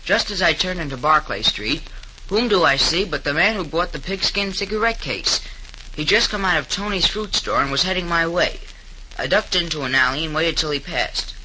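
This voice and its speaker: An actor playing an earnest, eager young store helper in the manner of 1930s radio drama. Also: male voice, on the high side, normal speed, sharp tone, rough recording. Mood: earnest narrating. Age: young adult. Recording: hissy 1930s radio recording.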